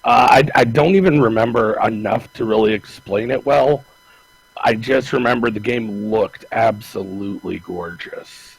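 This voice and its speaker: A man with a low, gravelly, husky voice chatting on a podcast. He rambles and restarts his sentences often, in an easygoing, casual way. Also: moderate pace, no echo, poor audio. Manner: rambling, hesitant, many restarts. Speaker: podcast co-host. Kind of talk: casual chat.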